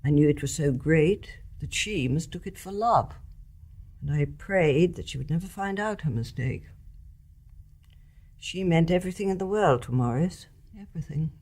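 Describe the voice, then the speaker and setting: A woman's voice: low voice, lively intonation. Composed older English mother-in-law. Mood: reflective, frank. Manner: measured, articulate, gently frank. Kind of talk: stage-play radio drama acting.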